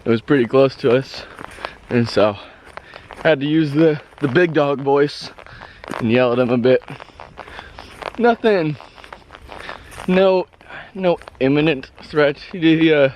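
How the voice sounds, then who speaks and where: Slow-talking hoarse man on a trail. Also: a little high, muffled recording, a little hiss or hum. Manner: rambling, halting, laughs often. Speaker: hiker vlogger. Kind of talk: casual trail vlog. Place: outdoors on a rural trail.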